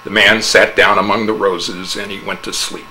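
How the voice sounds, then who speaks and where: A man narrating a humorous fable as a dramatic oral interpretation, with theatrical, measured storytelling. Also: lowish voice, level tone, bright, forceful tone, a little hiss or hum, low-quality recording.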